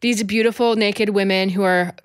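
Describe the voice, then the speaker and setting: A woman's voice: medium pitch, steady tone, moderate pace, smooth, dry recording, studio quality. A woman in her thirties co-hosting a casual podcast, giggly and exclamatory. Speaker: podcast co-host. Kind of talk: casual chat about a short story.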